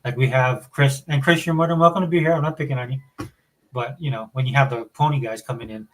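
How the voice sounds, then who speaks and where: A man's voice: mid-range voice, fairly even intonation, quick pace, a little hiss or hum. Rambling podcast host, a man. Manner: rambling, many restarts and fillers. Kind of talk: casual chat.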